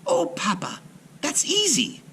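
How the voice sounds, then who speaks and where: A man's voice: sits high, wildly swooping pitch, slow pace, sharp tone, a little hiss or hum, pitched above the performer's own voice, full character voice. A man voicing a clever, cheerful, confident seventeen-year-old girl in a storybook folktale. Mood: bright, reassuring. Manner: laughing, confident.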